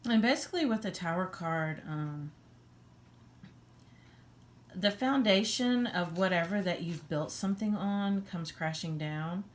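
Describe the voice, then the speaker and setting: A woman with a hoarse voice giving a casual tarot reading, halting with many ums and frequent throat clearing. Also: lowish voice. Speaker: tarot reader.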